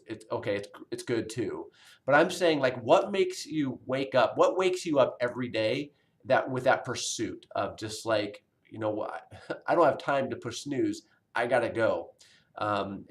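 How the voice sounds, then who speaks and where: A man in his forties, a college health instructor, talking casually to his online students in a recorded video message, rambling with many fillers and restarts. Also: medium pitch. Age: 40s.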